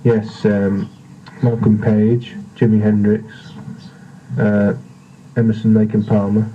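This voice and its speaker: A man's voice: lowish voice, monotone, slow. A teenage boy giving brief, matter-of-fact interview answers in a reserved way on an old seventies tape. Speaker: interviewee, amateur guitarist. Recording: dull, noisy 1970s reel tape.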